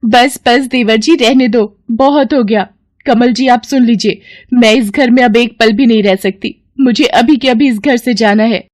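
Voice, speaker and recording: A woman's voice: fairly high pitch, a little slow, darkish tone, pitched above the performer's own voice, natural voice. A woman voicing a vain, haughty, selfish young daughter-in-law in a cartoon melodrama, complaining dramatically. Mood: indignant.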